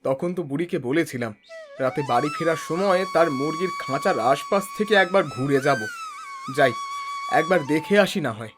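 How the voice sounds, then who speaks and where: Someone acting a helpful cartoon village man, animated intonation, earnest, familiar, faint music underneath. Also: medium pitch, a little fast. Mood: quietly musing. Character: helpful, concerned village neighbour named Ratan, male. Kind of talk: Bengali children's cartoon dialogue.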